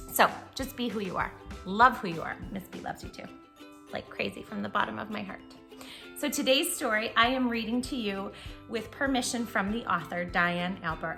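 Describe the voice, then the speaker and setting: A woman's voice: mid-range voice, pushed and bright. A woman hosting a children's read-aloud, talking affectionately and expressively straight to the young listener. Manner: affectionate, addressing the child listener directly and intimately. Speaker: children's storytime host and read-aloud reader. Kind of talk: children's storytime talk and picture-book read-aloud.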